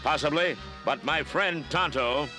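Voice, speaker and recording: An actor in an animated series playing an earnest, upright masked cowboy hero with a measured, heroic manner. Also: male voice, fairly high pitch, music in the background, lightly acted. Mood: firm.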